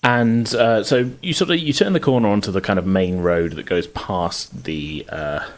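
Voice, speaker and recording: A man's voice: lowish voice, moderate pace. A man running a tabletop game as game master, narrating casually in hesitant, conversational phrasing.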